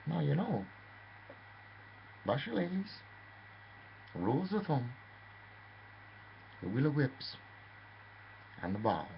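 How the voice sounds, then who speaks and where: A man's voice: a little high, sing-song, slow, creaky, long pauses. A man telling a rambling, theatrical story, addressing the listener as "lad". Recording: dull lo-fi with faint noise.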